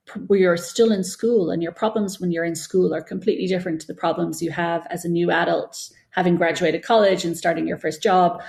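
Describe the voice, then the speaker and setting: Woman getting interviewed, lowish voice w lots of fry, explains stuff in a rambly thinking out loud way. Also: fairly even intonation, brisk, few pauses. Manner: explanatory, discursive, thinking aloud. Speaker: guest, children's author and former TV producer. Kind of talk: conversational interview answers.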